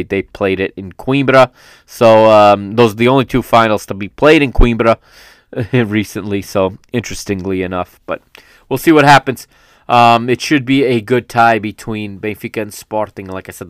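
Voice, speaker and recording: A man's voice: on the low side, clear, resonant tone, no room sound. A man hosting a solo football podcast, rambling through a casual recap, often correcting himself as he goes, with a fan's enthusiasm. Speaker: podcast host. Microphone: studio microphone.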